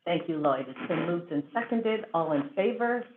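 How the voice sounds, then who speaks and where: A woman's voice: lowish voice, animated, moderate pace, slight background noise. A woman chairing a school board meeting, running through procedure with frequent fillers. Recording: tinny phone-like audio.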